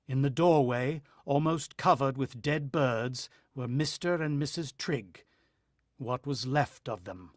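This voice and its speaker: A man's voice: medium pitch, slow, dry recording, clean studio sound, natural voice. A man acting a desperate, haunted husband in a radio play, telling of a siege in grave, clipped, intense style. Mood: grim, haunted. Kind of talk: dramatic radio play narration and dialogue.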